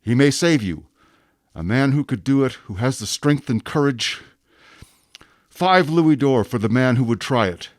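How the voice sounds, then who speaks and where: An actor playing a stern, relentless police inspector in an audio drama, cold and commanding. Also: male voice, medium pitch, animated, measured pace, dry recording, studio quality, natural voice. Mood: urgent. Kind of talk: radio drama acting.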